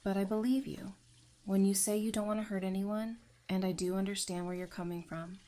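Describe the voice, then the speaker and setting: A woman's voice: mid-range voice, little pitch movement, fairly quick, dark tone, close to an everyday voice. A woman playing a composed, candid psychiatrist in an audio drama, speaking thoughtfully. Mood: friendly, sincere.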